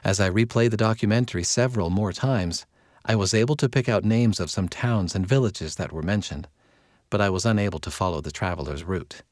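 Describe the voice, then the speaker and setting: Male narrator for article readings: lowish register, measured and clearly phrased, recorded dry and close. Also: a little mellow, no room sound. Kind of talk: scripted narration.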